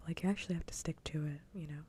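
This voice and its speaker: A woman chatting casually in a soft, breathy whisper, close to the microphone in ASMR style. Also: steady tone, very fast. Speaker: livestreamer, ASMR host. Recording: faint low rumble noise.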